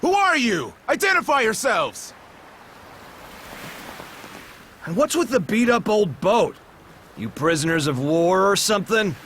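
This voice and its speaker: An actor playing an excitable, suspicious port guard in a video game, calling out bluntly. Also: male voice, high voice, normal speed, a little hiss or hum. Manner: loud, blunt challenging. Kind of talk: video game cutscene acting.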